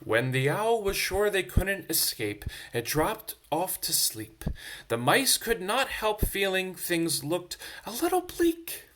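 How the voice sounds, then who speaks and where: A man reading a children's bedtime story aloud in an expressive, dramatic storyteller's manner. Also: high voice, slow, a little hiss or hum.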